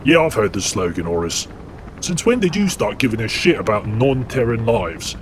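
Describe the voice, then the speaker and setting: A man's voice: lowish voice, normal speed. A man doing a brash, crude demigod warrior. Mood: mocking. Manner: blunt, crude, mocking banter. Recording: steady background noise.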